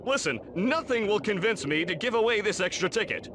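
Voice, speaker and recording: A man's voice: sits high, expressive, a little fast. A man voicing a laid-back, slangy beach dude in a cartoon video game. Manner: slangy surfer-dude talk. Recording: clear background noise.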